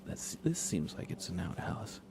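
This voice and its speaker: A man speaking softly and unhurriedly, often half-whispering, as he rambles through a live ASMR gaming stream. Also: moderate pace, background noise. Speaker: livestream host. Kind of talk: soft-spoken ASMR game livestream chat.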